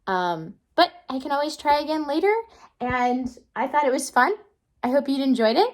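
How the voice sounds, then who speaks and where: A woman teacher giving a casual how-to lesson for children at home, explaining simply and often checking in with questions. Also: sits high. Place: indoors at home.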